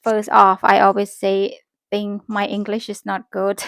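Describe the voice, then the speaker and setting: A woman in her thirties from Cambodia, a native Khmer speaker with a Cambodian accent, chats casually as a vlogger in halting, self-correcting, simple phrasing. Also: mid-range voice, monotone, gravelly, no echo. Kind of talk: casual rambling vlog chat.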